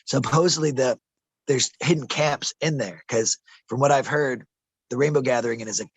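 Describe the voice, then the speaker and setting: A man's voice: mid-range voice, moderate pace, husky, dry recording. A man telling rambling, conspiratorial stories in a casual interview, calling the listener bro and man and stumbling over some words. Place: outdoors in a desert camp.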